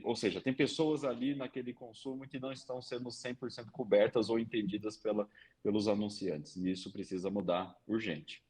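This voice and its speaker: A man's voice: lowish voice, darkish tone, breathy edge. A man with a Brazilian Portuguese accent, a regular commentator on a podcast, explaining radio market research and often tagging sentences with small fillers. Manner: explanatory, leans on tag questions and fillers.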